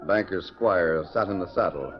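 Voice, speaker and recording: A man's voice: low voice, normal speed. A man narrating a scripted western serial in 1940s radio announcer style. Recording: muffled 1940s radio recording.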